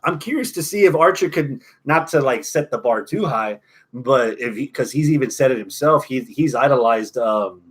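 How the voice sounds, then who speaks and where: A man's voice: mid-range voice, expressive, moderate pace, slightly rough. A man with an American accent hosting a wrestling podcast, giving opinionated, profane analysis in a casual chat.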